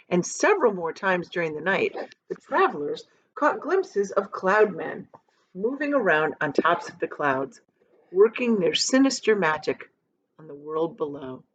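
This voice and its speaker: A woman teacher reading a children's story aloud in a deliberate, dramatic manner. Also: deep voice, muffled recording. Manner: deliberate, dramatic, shows pictures to listeners.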